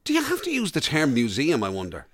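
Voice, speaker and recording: A man's voice: on the high side, wildly swooping pitch, fast, crowd noise. An Irish man co-hosting a radio show in casual comic banter, with a teasing, questioning way of talking.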